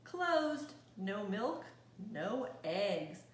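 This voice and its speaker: A woman's voice: medium pitch, big pitch swings, extremely slow, distant mic. Woman voicing polite, stubborn storybook cows. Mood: firm refusal. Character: polite but stubborn typing cows in a picture book. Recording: roomy echo, faint background noise.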